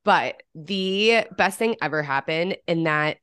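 A woman's voice: lowish voice, animated, takes it slowly, fairly bright tone, clear, resonant tone, no echo, studio quality. A young woman co-hosting a podcast in casual chat, slightly congested from a cold, giggly and full of "like". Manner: chatty, giggly, lots of "like". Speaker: podcast co-host.

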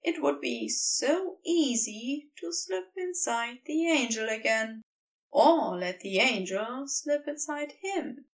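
A high-voiced woman narrates a tender piece of fiction at a slow pace. Her reading is gentle and literary, and she sounds set back from the microphone. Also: lively intonation, distant mic. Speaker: fan fiction audiobook reader. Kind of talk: scripted narration of a tender romantic story.

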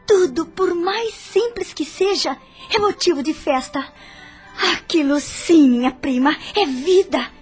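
A woman's voice: high-pitched, unhurried, soft background music. An actress playing a vivacious, vain young Brazilian woman in a radio drama, with a gushing, theatrical delivery. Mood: breezy. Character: vivacious, vain, materialistic young female cousin.

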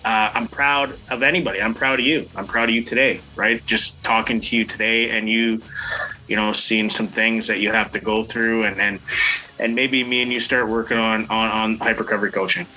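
A man who works as a recovery coach, speaking encouragingly and haltingly to his guest. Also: lowish voice, steady tone, poor audio. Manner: warm, encouraging, slow and halting. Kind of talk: supportive conversation.

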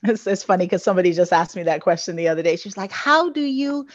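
A woman's voice: fairly low pitch, lively intonation, fast, no room sound, highs cut off. A woman from the Bahamas, a guest coach answering interview questions in an earnest, flowing way with many "you know" fillers.

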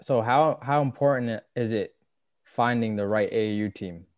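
Low-voiced casual podcast host man. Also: no echo. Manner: easygoing, halting with uh fillers. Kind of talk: casual interview chat.

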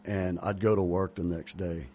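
Drowsy baritone man, animated storyteller. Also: moderate pace, a little hiss or hum. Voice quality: naturally baritone voice. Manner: animated storyteller, acts out quoted people. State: half asleep, early morning. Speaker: band vocalist, interview guest. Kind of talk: casual chat.

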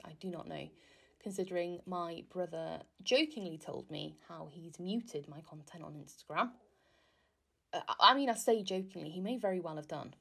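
A woman's voice: on the low side, vocal fry, a bit bright. A young woman from Wales, whose first language is Welsh, hosting a casual solo podcast in a chatty, self-deprecating, rambling way. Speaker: podcast host.

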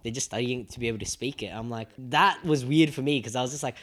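A man's voice: medium pitch, fast, fairly bright tone. A young man with an Australian accent in casual podcast chat, swearing freely and acting out quotes as he retells things. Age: young adult. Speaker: podcast co-host.

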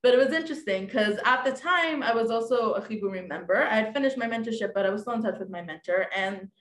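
A woman's voice: on the high side, fast, roomy sound, low-quality recording. A woman in her late twenties, a podcast guest telling a dating story in casual chat, acting out quoted lines, with lots of fillers. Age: 20s. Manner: animated, acts out quoted dialogue, many fillers.

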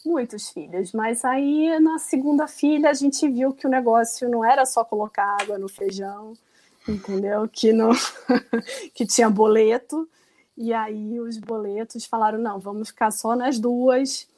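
A woman's voice: fairly high pitch, animated, moderate pace, a little mellow, poor audio. Chatty Carioca woman laughing between stories. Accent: Carioca Brazilian Portuguese. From Rio de Janeiro, Brazil. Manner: chatty, laughs often, self-deprecating. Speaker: guest. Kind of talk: casual interview chat.

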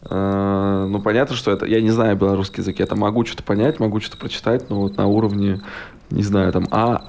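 A man's voice: on the low side, level tone, quick pace, creaky, darkish tone, slight background noise. A man of about thirty from Russia, a podcast guest rambling in casual chat, full of fillers, restarts and blunt profanity.

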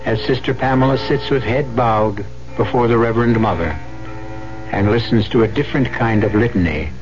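A man's voice: mid-range voice, normal speed. Male radio drama host over music. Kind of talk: scripted dramatic narration. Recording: muffled 1970s radio broadcast.

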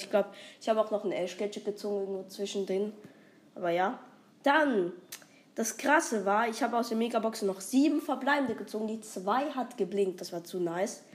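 Eine erkältete Frau, die als Host eines Gaming-Podcasts locker und aufgeregt über ihr Spiel erzählt, mit vielen Füllwörtern. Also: female voice, mid-range voice. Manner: excited, rambling, many fillers. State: has a cold. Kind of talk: casual gaming vlog chat.

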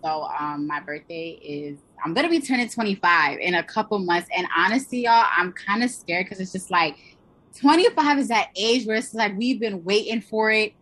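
Bubbly, husky-voiced young woman. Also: medium pitch, normal speed, fairly bright tone. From Pennsylvania. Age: 20s. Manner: bubbly, giggly, talkative. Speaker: podcast co-host. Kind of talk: casual podcast chat.

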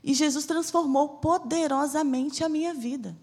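A woman's voice: high-pitched, darkish tone, in a large hall. A woman from Salvador with a Bahian accent blended with a Rio accent, preaching a fervent, emphatic church sermon as a missionary. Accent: Bahian Portuguese mixed with Rio de Janeiro. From Salvador, Bahia, Brazil. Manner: fervent, emphatic preaching with slow, stressed phrases. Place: church hall.

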